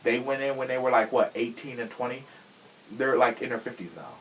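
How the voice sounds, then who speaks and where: A man's voice: mid-range voice, fairly even intonation, fairly quick, slight background noise, low-quality recording. A young man hosting a podcast in a casual, opinionated chat, talking in a sassy, slangy and emphatic way. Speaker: podcast host.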